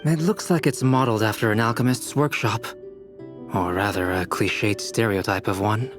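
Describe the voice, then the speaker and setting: A man voicing a calm, analytical young alchemist in a video game, measured and articulate. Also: fairly low pitch, lightly acted. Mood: observant. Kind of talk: video game character acting. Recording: music behind.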